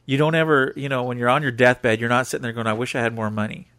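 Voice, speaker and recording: Podcast host, male, mid-pitched, jokey and quick to chime in, dry close sound. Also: flat delivery, a bit bright, clear, resonant tone, dry recording. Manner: playful, jokey, interjects often. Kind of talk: casual interview.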